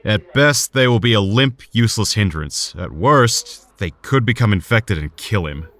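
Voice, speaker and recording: An actor playing a serious, cautious hospital surgeon in an audio drama, speaking in a measured, formal way. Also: male voice, on the low side, animated, fairly bright tone. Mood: grave, measured.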